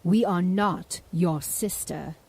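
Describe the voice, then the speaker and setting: Woman playing a husky, earnest captive heroine. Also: lowish voice, takes it slowly, darkish tone, full character voice. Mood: defiant. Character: earnest pleading captive heroine, defiant and indignant. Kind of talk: fantasy audio drama acting.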